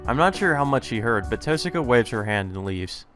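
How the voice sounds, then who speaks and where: A man's voice: mid-range voice, sing-song, a little fast, fairly bright tone. Man, let's player, informal read-aloud, casual asides, mid-range voice, music behind. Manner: informal, stumbles and rereads lines. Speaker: let's player reading a visual novel aloud. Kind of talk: reading game text with casual asides.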